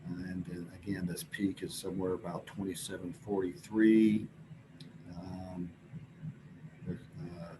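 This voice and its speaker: A man presenting scientific slides informally, explaining in a halting, hesitant way with pauses. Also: low voice, little pitch movement. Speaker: presenter at an astronomy symposium. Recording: clearly noisy recording with background ambience.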